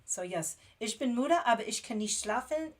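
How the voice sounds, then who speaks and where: Excitable chatty woman livestreaming. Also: a little high, moderate pace. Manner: chatty, excitable, laughs often. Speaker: beauty livestream host. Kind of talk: casual livestream chat.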